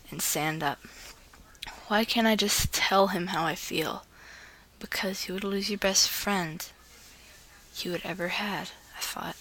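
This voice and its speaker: A woman's voice: on the low side, pushed and bright. A woman with an informal, giggly manner, chatting like a vlogger and reading a story aloud, now and then stumbling over words. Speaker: host of a fan fiction reading video. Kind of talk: casual vlog chat and amateur story read-aloud.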